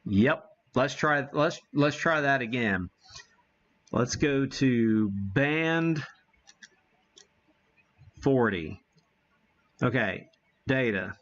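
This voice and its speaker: Muffled, lo-fi stream audio carries an American man with a middling-low voice. He talks slowly and casually, thinking out loud as he hosts a live demonstration. His phrasing is folksy and homespun. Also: lively intonation. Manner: folksy, mild homespun exclamations. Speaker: livestream host demonstrating ham radio gear.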